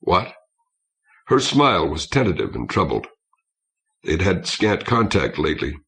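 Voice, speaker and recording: A man reading a science fiction story aloud as an audiobook narrator, in a measured and expressive way. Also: deep voice. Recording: old cassette audiobook transfer.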